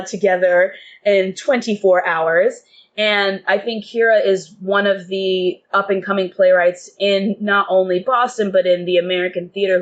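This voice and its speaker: A woman's voice: mid-range voice, lo-fi sound. Interview guest: woman, medium register, earnest and keen, slight background noise. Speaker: theatre guest and reader.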